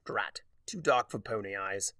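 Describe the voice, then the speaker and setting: A man's voice: on the low side, measured pace, bright, forceful tone, no echo, clean studio sound. A man voicing a mocking, smug chaos spirit in a storybook reading, with a drawling, condescending manner. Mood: casual. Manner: drawling, sardonic, grandly condescending.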